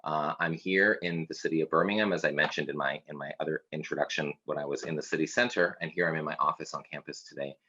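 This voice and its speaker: A man with a Canadian accent, co-hosting from his campus office, speaking reflectively with many pauses, fillers and restarts. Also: very deep voice, fairly even intonation, pushed and bright, slightly rough, highs cut off. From Scotland. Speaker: academic co-host. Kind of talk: reflective conversational chat.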